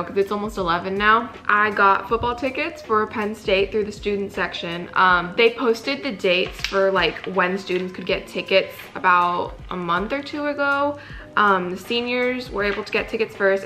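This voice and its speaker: A young woman from California chatting casually in a vlog, excitable and full of "like", her voice a bit raspy. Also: medium pitch. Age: young adult. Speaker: incoming college student vlogger.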